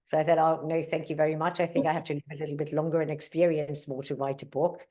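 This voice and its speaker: A woman's voice: deep voice, level tone, fast, mellow. A middle-aged woman whose first language is German, telling stories in a casual interview with many fillers and asides. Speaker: mountaineer and guest.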